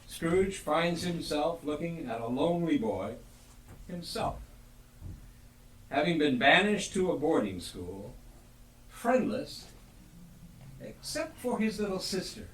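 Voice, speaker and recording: A man's voice: a little high, sing-song, takes it slowly, long pauses, a little hiss or hum. A man narrating a Christmas tale on stage as a theatrical storyteller. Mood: expressive storytelling. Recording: roomy live stage, distant mic, music behind. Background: music.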